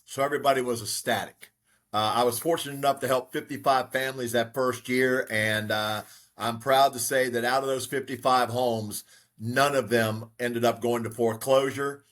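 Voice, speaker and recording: Older man telling his story, steady. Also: medium pitch, normal speed. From United States. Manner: steady, reflective, frequent fillers. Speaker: guest, real estate broker. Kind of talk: conversational interview storytelling.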